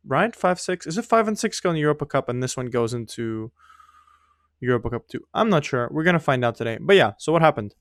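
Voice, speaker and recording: Young guy, english not his first language, chatty and laughing at himself, mid pitch, dry mic. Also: no room sound. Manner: rambling, self-mocking, laughs often. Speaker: gaming YouTuber. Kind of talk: casual gameplay commentary.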